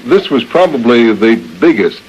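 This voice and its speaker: A man's voice: mid-range voice, expressive, moderate pace, a little mellow, slight background noise, low-quality recording. A man hosting a radio interview, speaking in formal, carefully built sentences with some hesitation. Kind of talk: interview conversation.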